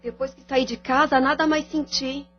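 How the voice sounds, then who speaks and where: An actress with a Brazilian accent playing a troubled young daughter in an audio drama. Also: female voice, sits high, steady tone, moderate pace, highs cut off, a little hiss or hum, natural voice. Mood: worried.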